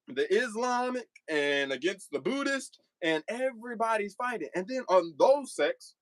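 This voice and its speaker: A man with a fairly high voice preaches to his livestream chat, firing off rhetorical questions and hammering the same phrases again and again. The sound is dry and close, with no room around it. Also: a bit bright, no echo, low-quality recording. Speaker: streamer addressing live chat. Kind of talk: impassioned livestream monologue.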